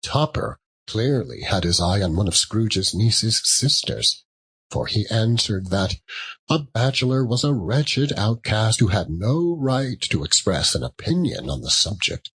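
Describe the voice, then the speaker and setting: Male narrator, very varied intonation, slow pace, slightly husky, dramatic classic audiobook reading. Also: fairly low pitch, very animated, a bit bright.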